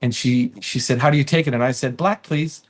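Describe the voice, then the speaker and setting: A man's voice: medium pitch, fairly quick. A man chatting casually as a comedian guest in an interview, telling stories and slipping into quoted voices. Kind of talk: casual interview chat with anecdotes.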